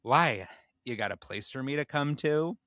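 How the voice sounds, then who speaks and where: A man's voice: medium pitch, expressive, moderate pace, a bit bright, no echo, studio quality, pitched above the performer's own voice, close to an everyday voice. A man voicing a snarky, easily flustered, lovestruck male mascot in a comedy story. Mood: flirty, wistful. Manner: snarky, wistful.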